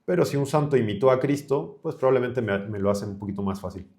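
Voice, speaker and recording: A man's voice: mid-range voice, animated, fast, a little mellow. Guy in his mid 30s, priest, chatting on a podcast, colloquial. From Chile. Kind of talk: conversational podcast interview.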